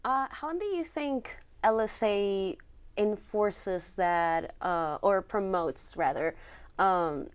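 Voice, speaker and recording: A young woman interviewing a student for a campus news show, asking soft, attentive questions. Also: mid-range voice, slow pace, clear, resonant tone.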